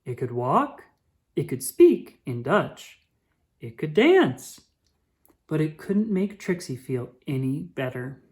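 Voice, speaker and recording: Female storyteller for picture-book read-aloud: low register, highly animated, dramatic emphasis. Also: slow pace. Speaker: children's book reader.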